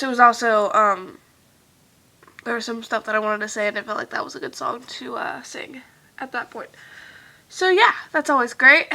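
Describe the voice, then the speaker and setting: A young woman with a higher, bright and clear voice talks to her viewers in a loose, rambling vlog chat. Her nose is badly stuffed, giving her words a congested sound. She fills pauses with lots of "um"s and often lets her sentences trail off softly. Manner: rambling and chatty with many fillers, trailing off softly. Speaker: vlogger.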